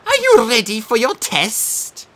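A shrill, gleeful sphinx hostess, a lion-bodied monster who stretches each word like a game-show announcer, as a man puts her on in an audiobook. Her voice swoops wildly up and down, with a strange, bright, sharp edge. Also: huge pitch swings, heavily acted. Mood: gleeful showy. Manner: showy, drawn-out announcer delivery. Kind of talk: audiobook character voice.

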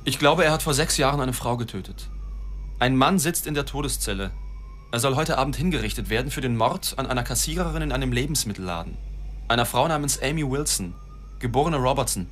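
Actor as earnest mid-pitched newspaper reporter. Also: male voice, lively intonation, a little fast, fairly bright tone, a little hiss or hum, lightly acted. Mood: earnest, pressing. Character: earnest, dogged newspaper reporter, weary of himself. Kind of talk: German audio drama acting and narration.

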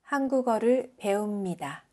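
A woman from South Korea reading example sentences as a language teacher, enunciating carefully and deliberately. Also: medium pitch, lively intonation, mellow.

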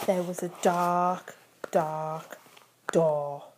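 A woman voicing an excitable, silly dog puppet who reads a storybook to a child in a playful, exaggerated way. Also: on the low side, background noise. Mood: spooky storytelling. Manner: playful, exaggerated, slow dramatic reading to a child. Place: small room at home.

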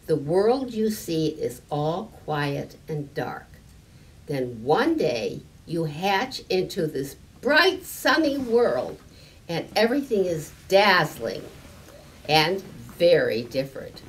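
An older woman voicing a gentle, very old storybook grandfather who explains things patiently and reassuringly. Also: deep voice, very animated, slow pace, distant mic, full character voice, rough recording.

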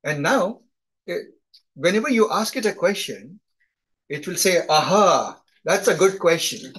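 A man's voice: high voice, expressive, measured pace, fairly bright tone, breathy edge. A middle-aged man with a thick Indian accent, teaching a live class over a video call, chatty and often asking "right?". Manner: conversational, often tags "right?". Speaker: instructor. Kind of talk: live online lecture.

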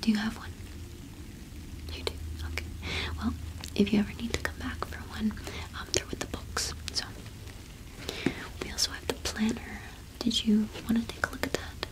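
A young woman whispering in a breathy, gentle, unhurried way, as in an ASMR roleplay. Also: on the high side, level tone, low-quality recording. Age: young adult. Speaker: ASMR host playing a shopkeeper.

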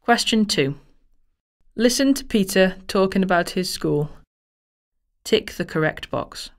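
Measured woman reading exam instructions. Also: mid-range voice, expressive. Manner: measured and formal.

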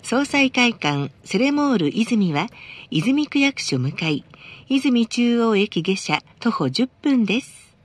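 A woman's voice: a little high, sing-song, takes it slowly, no room sound. A woman reading scripted advertising announcements for a bus, politely and formally.

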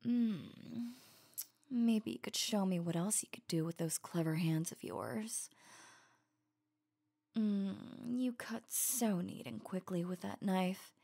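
A woman voicing an affectionate, teasing, possessive werewolf lover in intimate ASMR roleplay, soft and breathy, often slipping into a whisper. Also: mid-range voice, expressive. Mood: sultry, playful. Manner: slow, sultry, playful murmuring.

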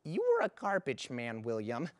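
A woman playing a sharp-tongued old wife and mother, bickering and scolding in broad comic style. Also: very deep voice, wildly swooping pitch, moderate pace, dry recording, clean studio sound, exaggerated voice. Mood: indignant.

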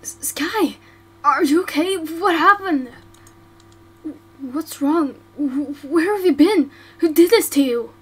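Girl doing a worried friend, stammery and pushy, high slow voice, faint hum. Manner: anxious, insistent, stammering.

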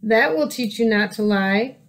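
Woman as a blunt, scoffing schoolmate dog, high voice. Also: measured pace. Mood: scornful. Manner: blunt, dismissive.

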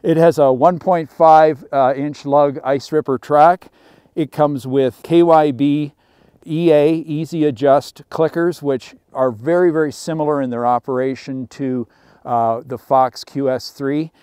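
A man's voice: a little high, fairly even intonation, a little slow, darkish tone, clear, resonant tone, dry recording. A middle-aged man casually walking through a snowmobile's features outdoors in snowy woods, with frequent fillers. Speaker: snowmobile reviewer. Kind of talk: product walkaround presentation.

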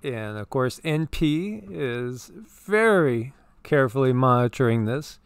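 A man co-hosting a spiritual commentary chat in an earnest, rambling manner, looping back and repeating himself as he goes. His voice is smooth and of middling pitch. The sound is dry and close, with no room echo. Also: big pitch swings, takes it slowly. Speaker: co-host.

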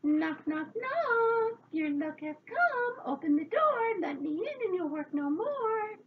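A woman voicing bossy little fairies who call out loudly in sing-song chants. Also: extremely high pitch, very animated, takes it slowly, phone-quality sound, a little hiss or hum, pitched above the performer's own voice, exaggerated voice. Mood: eager, insistent.

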